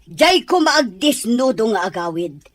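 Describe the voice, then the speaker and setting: A woman's voice: on the high side, sing-song, unhurried, no echo. A woman acting as a cold, calculating older mother-in-law in an Ilocano radio-style drama, speaking with stern control. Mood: scheming. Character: scheming older mother-in-law plotting against daughter-in-law.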